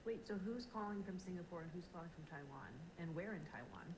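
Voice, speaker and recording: A woman's voice: on the low side, expressive, fast talker, heavy background noise. A woman, chatting casually on a video call, talking with lots of fillers and laughing easily. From California. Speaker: guest speaker on a video call. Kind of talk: casual chat.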